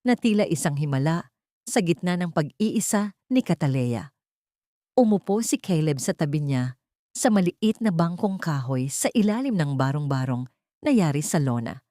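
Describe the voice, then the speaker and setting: A Filipino woman narrates a scripted drama in a fairly low voice. The recording is dry and close, with very little room sound. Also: a little mellow, no echo.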